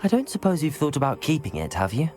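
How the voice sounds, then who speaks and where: A woman voicing a composed, supportive teenage witch in a video game, with a measured, polite, dry way of talking. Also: sits low, wildly swooping pitch, dark tone. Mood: supportive, curious. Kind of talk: cinematic game dialogue acting. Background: background music.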